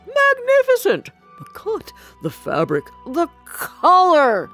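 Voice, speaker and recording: A woman voicing a vain, anxious, pompous old male emperor in a storybook, with a husky, theatrical delivery. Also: very high voice, huge pitch swings, slow pace, a bit bright, faint music behind, voice pushed up, exaggerated voice. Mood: grandly admiring.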